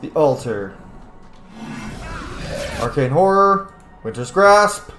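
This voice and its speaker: A man's voice: a little high, big pitch swings, slow pace, a bit bright, roomy sound, soft background music. A man giving casual, opinionated gaming commentary, speaking bluntly and emphatically with frequent swearing. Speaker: gaming channel host. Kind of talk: casual let's-play commentary with opinionated rambling.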